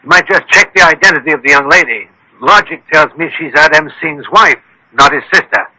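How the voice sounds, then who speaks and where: Shrewd detective doctor, radio mystery, sharp forceful tone, slightly high pitch, articulate, pompous, commanding. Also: male voice, brisk, slightly rough, dry recording, exaggerated voice, poor audio. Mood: calm, deductive. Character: shrewd, commanding gentleman detective doctor, self-assured and exacting. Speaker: radio drama actor.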